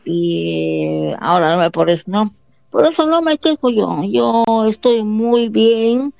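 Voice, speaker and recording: A woman from Bolivia taking part in a call-in conversation, talking in halting, repetitive phrases with affectionate warmth. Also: medium pitch, animated, gravelly, no echo, dull audio. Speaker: caller. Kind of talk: phone-in conversation.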